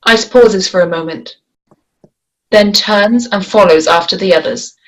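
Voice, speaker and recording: A woman narrates stage directions at a screenplay read. Her voice sits at an average pitch. The delivery is scripted narration. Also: a little slow, rough recording.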